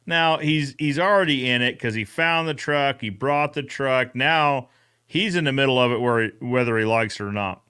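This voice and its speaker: A man's voice: medium pitch, moderate pace, bright, forceful tone, clear, resonant tone. A man hosting a recap show, chatting casually. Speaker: host of a podcast recap show.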